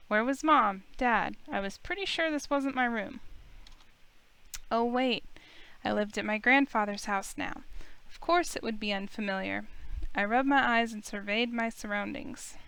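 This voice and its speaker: A woman playing a flustered, sceptical young heroine, narrating a visual novel in the first person with expressive dialogue. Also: fairly high pitch, smooth, poor audio. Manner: incredulous, expressive. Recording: music behind the voice.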